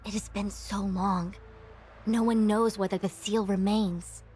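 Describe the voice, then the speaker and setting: An actress plays a composed young female advisor in a fantasy game. She speaks at a medium pitch in formal, measured phrases, grave and resolute, with soft music behind her. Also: steady tone, natural voice. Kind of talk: scripted game voice acting.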